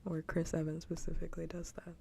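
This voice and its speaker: A woman streamer chatting casually in a soft, breathy whisper, rambling along in ASMR style on a livestream. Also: low voice, completely flat delivery, fairly quick, slight background noise. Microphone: close ASMR microphone.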